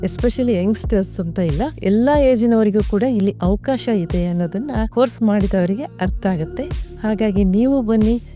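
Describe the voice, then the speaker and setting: A woman's voice: mid-range voice, dark tone. A woman giving a spoken testimonial as a course participant. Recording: faint music behind the voice. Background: music.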